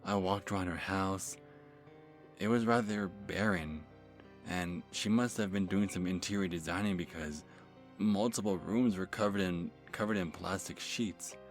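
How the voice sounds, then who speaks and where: A man playing a nervous, creepy lovestruck stalker telling a first-person horror story in an ASMR manner, halting and stammering as he corrects himself. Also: sits low. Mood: nervous, obsessive. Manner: halting, stammering, breathy sighs and self-corrections. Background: music.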